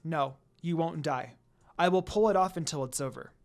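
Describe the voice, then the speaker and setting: A woman voicing a kind, brave young heroine in a story reading, gentle and reassuring in manner. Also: mid-range voice, normal speed, soft background music, close to an everyday voice.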